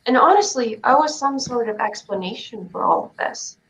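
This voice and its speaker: A woman playing a stubborn, accusing child spacewalker in a theatrical script reading, measured and pointed. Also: on the high side, animated, fairly bright tone, roomy sound, poor audio. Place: remote online stream.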